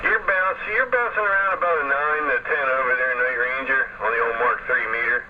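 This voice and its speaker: Man, relaxed rambling ham operator, muffled lo-fi signal, loud static hiss. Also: mid-range voice, brisk. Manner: relaxed, rambling, chuckles often. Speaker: amateur radio operator. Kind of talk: casual radio chat.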